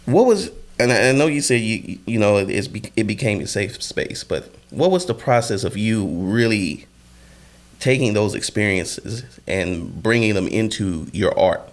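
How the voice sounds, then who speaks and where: A man hosting a casual podcast interview, telling stories in an animated way with many fillers and loud bursts. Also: on the low side, normal speed. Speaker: podcast host.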